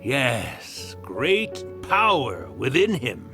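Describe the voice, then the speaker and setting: A man voicing a scheming, villainous male spirit in an anime-style video game, grandiose, drawn-out and mocking. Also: medium pitch, huge pitch swings, over music, exaggerated voice. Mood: gloating, menacing.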